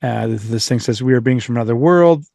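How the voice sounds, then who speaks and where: A man's voice: medium pitch, fast, gravelly, a little mellow, no echo, clean studio sound. A man chatting informally as a podcast host, retelling and reading out accounts, often wandering into asides, with some light swearing.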